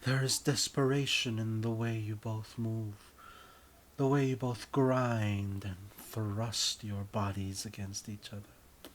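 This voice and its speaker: Slow, deliberate male story narrator. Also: fairly low pitch, steady tone, a little hiss or hum. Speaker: writer and narrator. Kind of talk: scripted second-person story narration.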